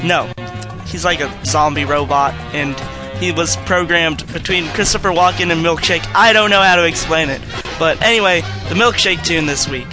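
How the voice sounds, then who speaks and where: Music plays clearly behind a man with a fairly high voice. He introduces his segment informally, rambling a little as he explains it like a casual contributor to a podcast. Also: normal speed, rough recording. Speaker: song parody maker, podcast contributor.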